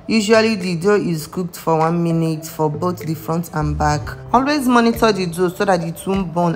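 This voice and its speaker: Nigerian woman narrating a cooking tutorial. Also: on the low side. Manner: friendly step-by-step instruction with some non-standard phrasing. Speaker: cooking channel host. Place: home kitchen. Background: soft background music.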